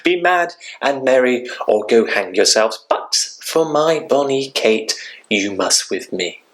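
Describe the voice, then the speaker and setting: A man's voice: medium pitch, animated, slow pace. A man playing a domineering, swaggering bridegroom in a Shakespeare monologue, declaiming with emphatic command. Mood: domineering, boastful.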